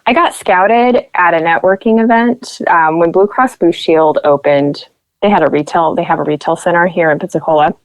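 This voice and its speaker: A woman's voice: fairly low pitch, moderate pace, smooth, no room sound, rough recording. A young woman from Nebraska, a podcast guest, telling stories in casual chat and acting out what people said. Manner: chatty storyteller who acts out quoted voices.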